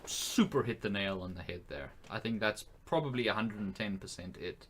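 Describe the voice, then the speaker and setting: A man's voice: on the low side, moderate pace, crowd noise. A man co-hosting a casual film podcast, thinking aloud in an informal, tentative way. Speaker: podcast co-host.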